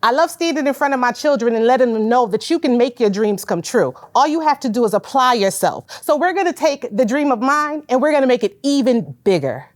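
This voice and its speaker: A woman in her forties from Connecticut pitches her business in a loud, emphatic voice that sits high. She talks straight to her listeners and calls them y'all. The sound is dry and close, with no room echo. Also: expressive, a little fast, few pauses. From Hartford, Connecticut. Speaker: business owner.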